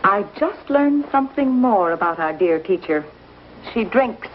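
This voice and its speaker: A woman's voice: mid-range voice, expressive, a little slow, background noise, natural voice. A woman playing a meddling, gossipy frontier townswoman, self-righteous and insinuating, in a vintage TV western. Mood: scandalized. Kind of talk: 1950s TV western acting. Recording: dull vintage soundtrack, music behind.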